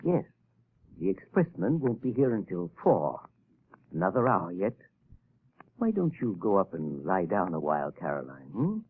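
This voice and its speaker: An actor playing a nervous scheming husband in a 1930s radio drama, stammering and jittery, on a tinny old broadcast recording. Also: male voice, sits low, big pitch swings, normal speed, slightly rough, exaggerated voice. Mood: calm. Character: scheming nervous middle-aged husband, panicky.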